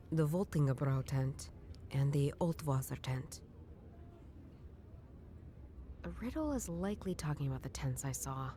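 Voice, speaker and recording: Low-voiced, dry female sleuth. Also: mellow, a little hiss or hum. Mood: pondering. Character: dry, guarded, inquisitive young woman detective. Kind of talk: video game voice acting. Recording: music behind the voice.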